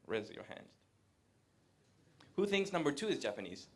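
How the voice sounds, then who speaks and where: A man, giving a live presentation to an audience in a conversational way, pausing often to hesitate. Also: on the high side, big pitch swings, a little hiss or hum. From Latin America. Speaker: guest speaker. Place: event room with a live audience.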